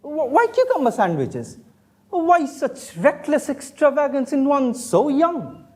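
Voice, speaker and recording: Theatrical young gentleman with swooping pitch acted by a man. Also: sing-song, unhurried, full character voice. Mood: evasive, curious. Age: young adult. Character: earnest, romantic young Victorian gentleman, easily flustered. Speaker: student actor in a stage play. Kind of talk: stage comedy of manners acting.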